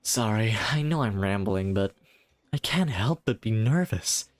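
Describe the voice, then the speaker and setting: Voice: mid-range voice, animated, unhurried, no room sound, soft background music, close to an everyday voice. A streamer reading a hesitant, nervous guardian. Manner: hesitant, apologetic. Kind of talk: game dialogue read aloud.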